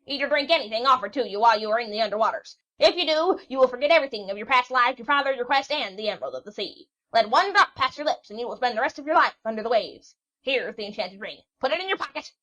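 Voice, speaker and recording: A woman's voice: expressive, fast, few pauses, sharp tone. A woman voicing a cranky ancient sea witch who shrieks, scolds and rambles in a storybook reading. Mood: shrill scolding. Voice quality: shrill, screeching. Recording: dull lo-fi recording.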